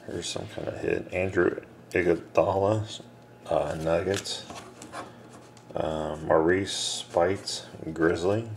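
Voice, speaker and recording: A man breaking a box of trading cards on camera, reading out names and teams in a low mumble, casual and unhurried. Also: vocal fry, darkish tone. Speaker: card breaker. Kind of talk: casual card-break commentary. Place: small room indoors. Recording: noisy room with card shuffling.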